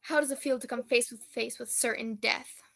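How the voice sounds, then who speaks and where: Female war correspondent played by an actress: bright, ringing, high voice, pompous and dramatic old-radio reporting. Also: fairly even intonation, moderate pace, creaky. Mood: solemn, grandiose. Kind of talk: old-time radio news report parody.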